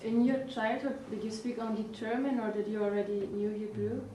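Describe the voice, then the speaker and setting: A woman's voice: a little high, steady tone, fairly quick, dark tone, slight background noise. A woman asking interview questions in a curious, casual way, with filler words. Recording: echoey room, distant mic.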